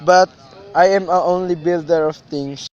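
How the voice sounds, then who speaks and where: A man's voice: a little high, steady tone, measured pace, darkish tone, lo-fi sound, lightly acted. A man in a student radio drama, playing a grave, superstitious young cousin who speaks solemnly and cryptically. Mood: resigned.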